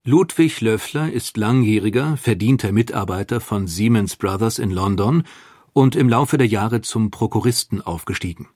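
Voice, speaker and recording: Calm male documentary narrator. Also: fairly low pitch, normal speed, dry recording, clean studio sound. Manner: calm, factual delivery.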